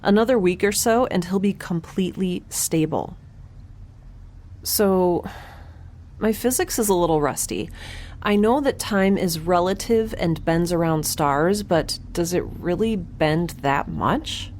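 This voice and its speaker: A woman's voice: lowish voice, unhurried, darkish tone, a little hiss or hum, close to an everyday voice. A woman playing a wry, chatty young space scientist, recording an informal, rambling log in an audio drama. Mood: musing. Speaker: narrator and lead character.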